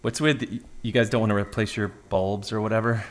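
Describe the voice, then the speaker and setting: Skeptical male paranormal investigator played by an actor, American, low register, casual wry podcast narration for an audio drama. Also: steady tone, fairly quick, close to an everyday voice. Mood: uneasy, wry. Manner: casual, confiding, wry, swears. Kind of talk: scripted narration and field recording in audio drama.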